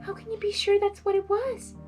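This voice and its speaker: A woman voicing a timid, anxious young female pegasus pony in an expressive storybook reading, hesitant and pleading. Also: very high voice, brisk, soft background music, pitched above the performer's own voice. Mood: timid, unsure.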